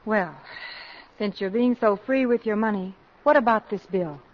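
A woman's voice: medium pitch, normal speed, close to an everyday voice. A woman acting a practical, teasing wife and mother in a 1950s radio drama, with wry, affectionate nagging. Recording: muffled vintage radio broadcast.

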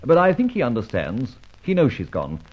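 Swooping, husky gentleman rogue, dull recording. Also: mid-range voice, huge pitch swings, normal speed. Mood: teasing, smooth-talking. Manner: flippant, teasing, debonair. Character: suave, wry gentleman adventurer and rogue. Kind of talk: radio crime serial acting. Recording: dull old radio recording.

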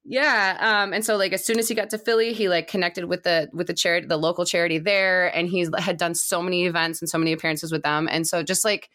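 A woman's voice: lowish voice, steady tone, fast, hardly any pauses, a bit bright. A woman chatting casually and enthusiastically as a co-host of a baseball fan podcast. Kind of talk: casual chat.

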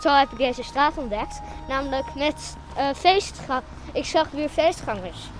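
A woman's voice: high voice, animated, unhurried, over music, rough recording. A woman in casual chat.

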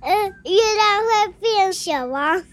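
Super high squeaky bunny, kiddie and stubborn, talks slow, bit of music. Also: expressive, a bit bright, full character voice. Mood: matter-of-fact. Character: cheeky squeaky little moon rabbit, childlike and stubborn.

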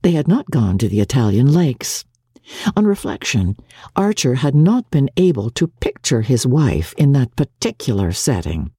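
A woman's voice: very deep voice, very animated, moderate pace, dark tone, slightly rough, dry recording, clean studio sound. A woman narrator giving a dramatic audiobook reading of classic literature.

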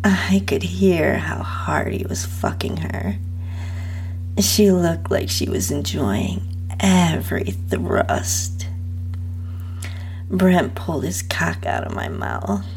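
A woman narrating an intimate first-person adult story in a breathy, half-whispered delivery. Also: low voice, huge pitch swings, takes it slowly, darkish tone, a little hiss or hum, poor audio. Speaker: host and narrator reading own story.

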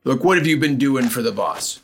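A man's voice: mid-range voice, animated, a little fast, faint music behind, lightly acted. A man playing an impatient, evasive cult henchman in a tabletop game, talking in casual, slangy, exasperated bursts. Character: gruff, exasperated cult raider, reassuring and evasive.

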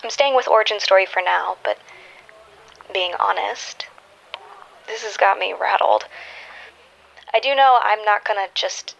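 An actress playing a rattled, guarded superhero in an audio drama, wry and understated. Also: female voice, medium pitch, a little slow, gravelly, pauses often, a bit bright, dull audio, a little hiss or hum. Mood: shaken, resolute. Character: rattled, guarded young female superhero questioning her orders.

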